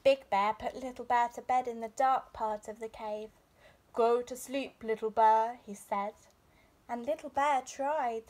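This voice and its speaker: Unhurried, high-voiced young woman reading a storybook. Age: young adult. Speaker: teacher reading a bedtime story. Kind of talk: expressive children's storybook narration. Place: small furnished room indoors.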